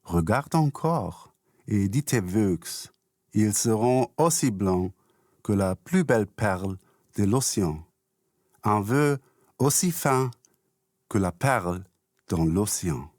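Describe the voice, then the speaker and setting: A man reciting poetry in measured, deliberate phrases with pauses between them. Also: fairly low pitch, animated, a little mellow, no echo, studio quality. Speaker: poet reciting own poem.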